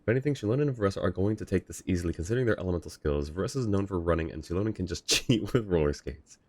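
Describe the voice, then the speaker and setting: A man's voice: deep voice, lively intonation, racing, darkish tone, no room sound. A man chatting casually and reacting as he livestreams a video game. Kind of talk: casual stream chat and reactions.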